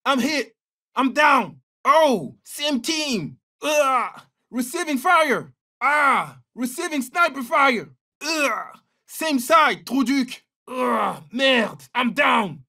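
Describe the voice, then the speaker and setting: An actor with a French accent playing a confident young special forces soldier in a military shooter game, giving terse, clipped callouts. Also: male voice, very high-pitched, animated, drawn-out words, sharp tone, far from the mic, heavily acted. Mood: pained, alarmed. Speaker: video game operator.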